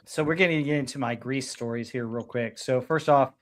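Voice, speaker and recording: A man's voice: mid-range voice, fairly quick, a bit bright. A man hosting a casual podcast chat about music, talking enthusiastically with many fillers. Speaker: podcast host.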